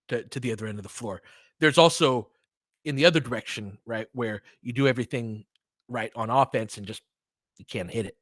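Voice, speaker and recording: A man's voice: mid-range voice, fairly bright tone, no room sound, clean studio sound. A man giving live basketball play-by-play mixed with casual, long-winded analysis in a conversational, explanatory way.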